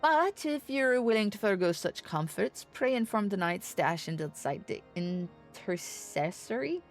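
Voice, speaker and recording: A woman's voice: medium pitch, animated, a little slow, faint music behind. A woman voicing a gracious, welcoming knight lord from a fantasy game, speaking in a courtly, florid way. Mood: gracious, courteous.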